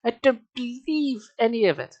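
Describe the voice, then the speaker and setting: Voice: mid-range voice, very animated, normal speed, a little mellow, no echo, lo-fi sound, pitched above the performer's own voice. A reader voicing a terrified young girl stuck in a monster mask, shrieking and pleading in a storybook reading. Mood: panicked.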